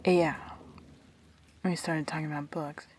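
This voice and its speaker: A woman's voice: sits low, flat delivery, measured pace, a little mellow, slight background noise. A woman playing a guarded, defensive, brooding immortal in an intimate sci-fi audio drama, terse and hesitant. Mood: reluctant.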